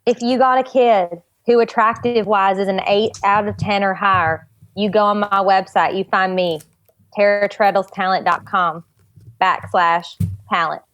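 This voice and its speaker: A woman's voice: medium pitch, steady tone, normal speed, a bit bright, natural voice. Woman playing a brash, blunt child-talent agent. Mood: brash, impressed. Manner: blunt, hard-selling. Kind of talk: improvised comedy character talk.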